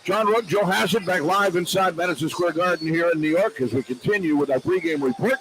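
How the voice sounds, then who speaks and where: A man's voice: sits high, fairly quick, a bit bright, rough recording. A man hosting a sports radio pregame show, speaking casually as a broadcaster with short questions and prompts. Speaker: sports radio play-by-play host. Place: arena.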